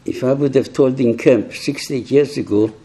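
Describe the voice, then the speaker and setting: An elderly man recounts his memories slowly, in halting, reflective phrases with frequent restarts. His voice is very dark and mellow, with a husky grain. He is hard of hearing. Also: medium pitch. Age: older, 80s or 90s. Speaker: survivor giving testimony to young people. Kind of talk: personal testimony talk.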